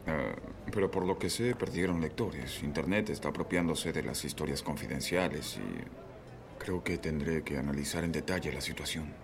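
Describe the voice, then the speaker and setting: Reserved newsroom chief, very low gravelly voice, slight room noise. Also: male voice, moderate pace. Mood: calm. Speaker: new newspaper editor. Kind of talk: Latin American Spanish film dub.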